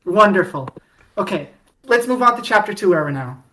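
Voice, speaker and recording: A woman hosting a podcast in a casual fan-discussion style, with halting delivery that often repeats and restarts phrases. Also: mid-range voice, lively intonation, a bit bright, poor audio. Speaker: podcast host.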